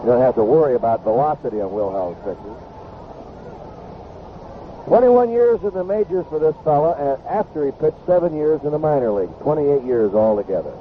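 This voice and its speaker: A man's voice: mid-range voice, expressive, fairly quick, narrow, tinny audio. Male play-by-play baseball announcer for a vintage radio broadcast, thin band-limited sound under heavy noise. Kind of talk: live sports commentary. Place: ballpark broadcast booth. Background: loud ambient ballpark noise.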